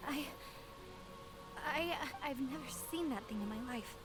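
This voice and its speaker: A woman's voice: high voice, expressive, a little slow, over music. A woman voicing a frightened, deferential young woman in a game, speaking with hesitant, apologetic politeness. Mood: fearful, withdrawn. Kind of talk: scripted game voice acting.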